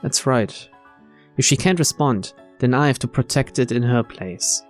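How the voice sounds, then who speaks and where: A man's voice: mid-range voice, normal speed, faint music behind. A man hosting a let's-play, reading game text aloud and commenting casually. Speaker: gameplay host.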